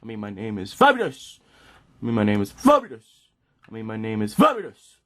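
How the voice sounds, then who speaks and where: A man acts out a vain cartoon robot villain, dropped lower and delivered briskly. He is silly, pompous and repeats himself. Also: fairly even intonation, natural voice. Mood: vain, flustered.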